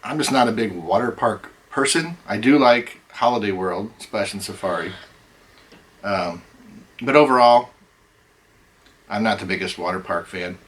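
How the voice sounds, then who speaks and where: Hoarse middle-aged man, rambling host. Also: fairly low pitch, unhurried, pauses often, rough recording. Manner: rambling, hesitant with many ums. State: losing his voice. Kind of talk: casual chat.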